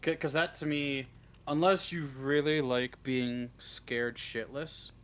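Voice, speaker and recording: A man's voice: medium pitch, takes it slowly, a bit bright. Blunt, informal man with a mid-range voice. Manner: informal, blunt, swears freely. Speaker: interview guest, script writer. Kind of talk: casual interview chat. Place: live online voice stream.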